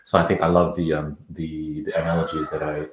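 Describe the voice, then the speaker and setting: Man, from Indonesia, very low voice, halting thoughtful talk, echoey room. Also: flat delivery, crowd noise. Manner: thoughtful, many restarts and repeated words. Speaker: parenting coach. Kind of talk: podcast interview.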